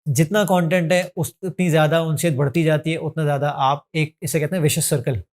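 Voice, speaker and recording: A man's voice: fairly high pitch, fairly even intonation, brisk. A man, a gym trainer giving earnest fitness advice in a podcast, mixing in English phrases. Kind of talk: podcast interview answers.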